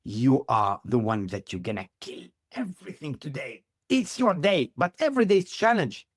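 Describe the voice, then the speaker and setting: Man, middle-aged, halting upbeat English, dry close sound. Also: mid-range voice, sing-song, no room sound. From Tunisia. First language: Arabic. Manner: halting non-native English, earnest and upbeat. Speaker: guest. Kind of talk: interview answers.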